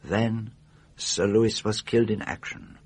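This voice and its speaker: An actor playing an older Belgian detective, shrewd and patient, speaking formal, precise English with a Belgian French accent in a radio drama. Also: male voice, on the low side, fairly even intonation, gravelly, darkish tone, breathy edge, full character voice. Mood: calm, insistent. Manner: precise, formal, deliberate questioning.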